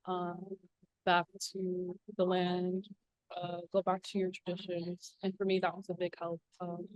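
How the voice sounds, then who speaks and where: A woman's voice: fairly low pitch, monotone, measured pace, a little mellow, no echo, muffled recording. Earnest young woman panelist. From southwest Alaska. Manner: earnest, encouraging, many fillers. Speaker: panelist, engineer. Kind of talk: casual panel talk.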